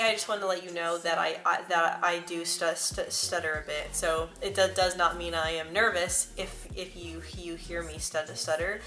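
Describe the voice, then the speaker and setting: A young woman vlogging to camera in a casual, earnest way, stuttering often with repeated sounds and words. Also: fairly low pitch. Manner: earnest and personal. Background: soft background music.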